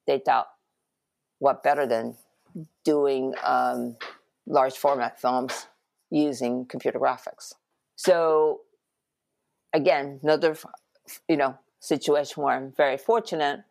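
A middle-aged woman from Japan, an animation producer, recounting her career in a relaxed interview with frequent ums and restarts. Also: very deep voice, creaky, dry recording. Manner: reflective, many ums and restarts.

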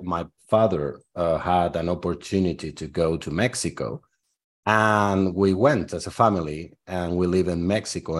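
A man from Spain in his forties, talking in English with a Spanish accent. His voice sits very low, and he answers interview questions in a relaxed, rambling way, leaning on fillers as he thinks. He comes across as candid and unhurried. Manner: thoughtful, loose, with frequent fillers. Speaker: guest artist.

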